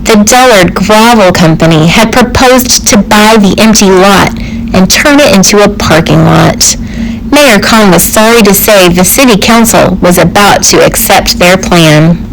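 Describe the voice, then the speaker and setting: A woman reading a children's picture book aloud with careful, expressive, deliberate phrasing. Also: mid-range voice, slight background noise, low-quality recording. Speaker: storybook reader.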